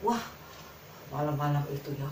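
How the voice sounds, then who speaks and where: A woman tells a children's fable in a slightly low voice, informal and repetitive, as if making it up as she goes. She is some distance from the mic in an echoey space, with faint noise behind her. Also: animated, normal speed, darkish tone. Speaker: storyteller.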